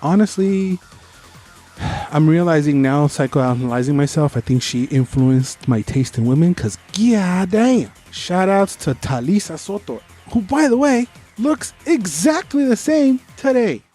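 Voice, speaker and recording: A man's voice: high-pitched, lively intonation, measured pace, mellow, soft background music. A man co-hosting a podcast, chatting casually about movies with slangy, enthusiastic fan talk. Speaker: podcast host. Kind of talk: casual movie review chat.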